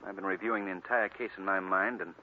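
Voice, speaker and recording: An actor playing a decisive, urbane detective in crisp, commanding 1940s radio style. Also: male voice, low-pitched, steady tone, quick pace, natural voice. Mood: composed, polite. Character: decisive, urbane male amateur detective, confident and urgent. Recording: tinny, hissy 1940s radio recording.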